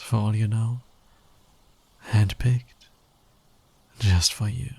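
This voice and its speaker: Man acting a husky, low-voiced flirty villain. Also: a little mellow, full character voice. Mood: flirtatious, coaxing. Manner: smug, playful, drawling, teasing. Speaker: voice actor in audio roleplay. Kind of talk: flirty ASMR roleplay acting.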